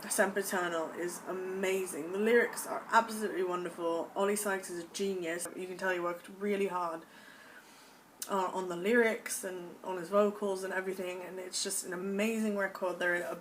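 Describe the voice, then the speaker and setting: A woman's voice: on the low side, level tone, normal speed. A young American woman vlogging casually to camera in a put-on British accent, gushing with enthusiasm about things she loves. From United States. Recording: voice a bit distant from the mic, faint background noise.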